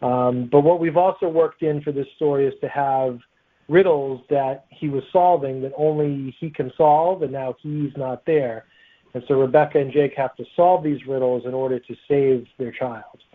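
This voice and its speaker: A man's voice: medium pitch, steady tone, normal speed. A man speaking as a guest author, answering interview questions in a casual, conversational way. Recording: tinny, phone-like line.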